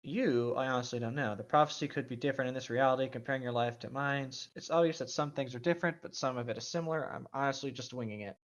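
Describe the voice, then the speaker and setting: A man reads as a young wizard mentor, protective and weary. The voice is medium-pitched on a dull, lo-fi storytelling recording. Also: fast talker, hardly any pauses, lightly acted. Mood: matter-of-fact.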